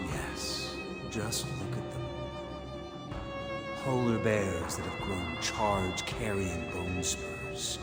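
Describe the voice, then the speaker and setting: Game villain: sinister scientist, grandiose and unhurried, echoey sound over loud music. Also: male voice, lowish voice, huge pitch swings, heavily acted. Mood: gloating, menacing. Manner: theatrical, grandiose, drawn-out delivery. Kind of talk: dramatic video game voice acting.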